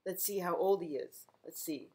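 A middle-aged woman reading a picture book aloud to young children, gentle and expressive, as a librarian at home. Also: fairly low pitch, normal speed. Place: living room at home, indoors.

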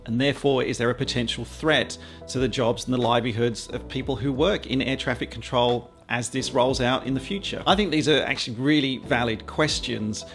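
A man, presenting an explainer to camera in a measured, conversational way. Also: mid-range voice, a bit bright, soft background music. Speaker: aviation vlogger and presenter. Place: small quiet home office, indoors.

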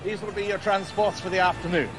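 An actor playing a jovial, elderly, proud park owner in a game cutscene. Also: male voice, high-pitched, fairly even intonation, fast, music in the background, natural voice. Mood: excited, proud. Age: older. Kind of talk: film dialogue in a game cutscene.

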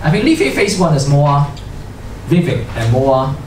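A middle-aged man giving an informal talk at a tech meetup, halting, with many restarts and fillers, chuckling at his own points, on a handheld mic before a seated audience. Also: a little high, big pitch swings, darkish tone, slight background noise. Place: lecture room with seated audience. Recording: roomy hall audio from far off.

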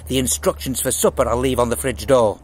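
A man voicing a brisk father giving emphatic instructions in a storybook reading, with a husky voice. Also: mid-range voice, natural voice.

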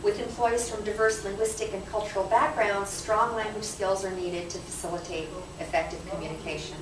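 A woman's voice: medium pitch, fairly even intonation, brisk. A woman giving a prepared conference talk to a live audience in an explanatory, emphatic way. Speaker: conference presenter. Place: conference session room. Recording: echoey noisy room, distant microphone.

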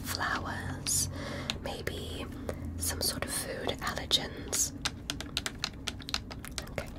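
A woman playing a curious, polite alien doctor in a gentle, methodical ASMR medical roleplay, whispering her questions. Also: lightly acted, low-quality recording.

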